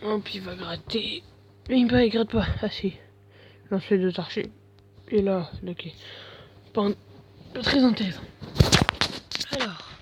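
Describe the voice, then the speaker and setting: A man's voice: sits high, a little slow, long pauses, noisy background. A man with a bad head cold giving casual, mumbling commentary over gameplay, full of slang and fillers.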